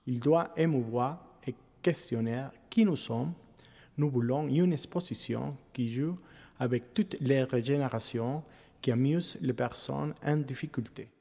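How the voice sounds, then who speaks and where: A man's voice: mid-range voice, a little slow, vocal fry, dark tone. A man from Venezuela with a Venezuelan accent, reading scripted statements. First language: Spanish. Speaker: exhibition participant. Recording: long reverb.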